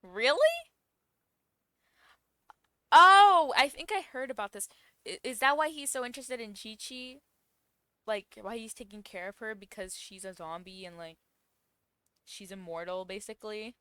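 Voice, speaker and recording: A woman's voice: fairly high pitch, lively intonation, measured pace, long pauses, sharp tone, clean tone, no room sound. A woman giving casual, playful reaction commentary as a streamer, full of questions and sarcastic asides. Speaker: streamer reacting to a game story.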